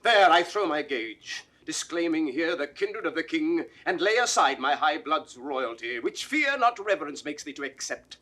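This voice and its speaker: Forceful, high-pitched proud nobleman. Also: expressive, moderate pace, hardly any pauses. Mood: scornful, challenging. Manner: declamatory, forceful, ringing delivery. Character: fierce, proud noble accuser Henry Bolingbroke. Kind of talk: classical Shakespearean verse acting, 1960s recording.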